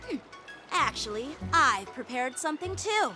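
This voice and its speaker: A woman's voice: sits high, sing-song. An actress voicing an earnest, bashful teenage boy swordsman in a game, speaking politely and a little formally. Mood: sincere. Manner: polite, formal, slightly halting. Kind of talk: game cutscene acting. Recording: prominent music behind the voice.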